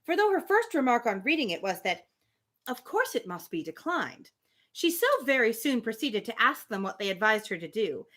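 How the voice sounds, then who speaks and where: Female book-reading host, expressive and swooping in pitch, crisp forward tone, informal commentary between passages. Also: moderate pace. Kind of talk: reading a novel aloud with casual asides.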